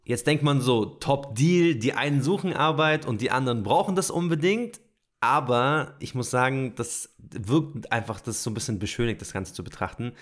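Animated podcast co-host, faint music. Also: male voice, medium pitch, normal speed. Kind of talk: casual podcast explainer.

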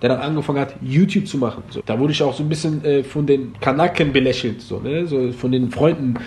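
A man's voice: medium pitch, fast talker, a little mellow. A man born and raised in Germany, a guest telling stories in a casual interview, laid-back and rambling with lots of fillers. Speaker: podcast guest, former online comedian.

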